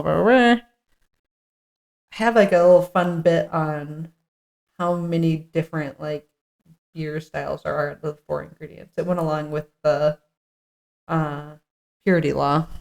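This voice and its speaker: A woman's voice: low voice, gravelly, a little mellow. A chatty, giggly woman co-hosting a podcast, moving between reading a script and casual banter. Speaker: podcast co-host. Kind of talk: casual table read with banter.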